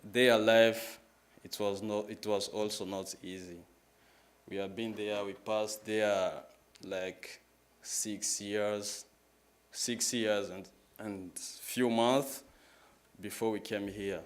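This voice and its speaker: A man in his twenties with a Congolese accent giving a personal testimony as a public talk, halting and repeating his phrases in plain words. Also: on the low side, level tone. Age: 20s. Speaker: guest speaker at a church, sharing testimony.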